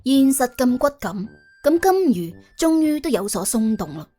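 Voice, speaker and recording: A woman's voice: fairly high pitch, very animated, normal speed, warm tone, faint music behind. A woman with a Cantonese accent narrating an audiobook dramatically, in a wry, colloquial, exclamatory way. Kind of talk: dramatic scripted storytelling.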